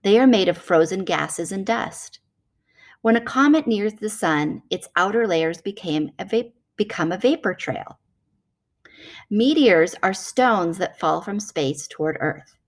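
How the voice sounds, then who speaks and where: Female read-aloud narrator, medium pitch, measured explanatory delivery, children's nonfiction reading. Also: expressive.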